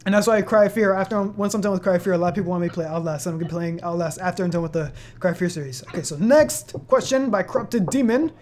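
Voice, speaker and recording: A man's voice: high voice, rapid-fire, few pauses, darkish tone. Seventeen-year-old guy, rambling Q&A host. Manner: rambling, many fillers, laughs often. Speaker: channel host. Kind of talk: casual Q&A chat.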